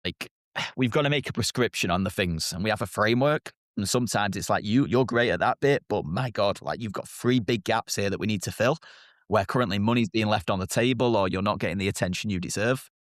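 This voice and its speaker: A man's voice: fairly low pitch, fairly quick, no room sound, studio quality. A man with a British accent, a marketing agency co-founder, answering interview questions directly and confidently.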